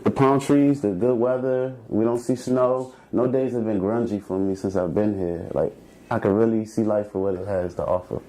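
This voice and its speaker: A man's voice: on the low side, steady tone, moderate pace, mellow, low-quality recording. A man from Washington, D.C., co-hosting a talk show in laid-back casual chat, full of "like" and "you know".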